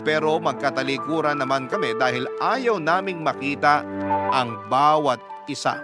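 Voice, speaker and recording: A man reading a listener's letter as an emotional first-person story, in the style of a radio host. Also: fairly high pitch. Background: loud background music.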